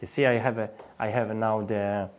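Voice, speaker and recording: A man's voice: lowish voice, level tone, moderate pace. A man in his thirties with a Polish accent hosting a language-learning live stream, repeating phrases and coaxing viewers to comment. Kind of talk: live-stream webinar talk.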